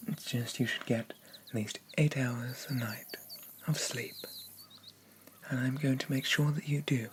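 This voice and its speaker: A man as a slow, haughty demon prince. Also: mid-range voice, fairly even intonation, background noise, close to an everyday voice. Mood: possessive, coaxing. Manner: pompous, formal, softly condescending. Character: haughty, possessive young male dream demon prince. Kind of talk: slow ASMR roleplay, half whispered.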